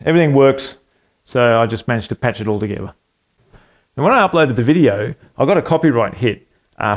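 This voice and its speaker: A man in his forties talks in a relaxed, rambling way, with plenty of fillers and restarts. He speaks at a middle pitch, recorded on a desk camera mic in a small home studio room. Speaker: home music producer and channel host. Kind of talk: casual walkthrough of a home recording.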